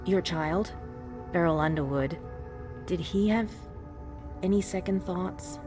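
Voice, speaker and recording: A woman playing a guarded female vampire in a video game, speaking in a cool, pointed and deliberate way. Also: lowish voice. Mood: probing, pointed. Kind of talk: dramatic video game acting. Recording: music behind.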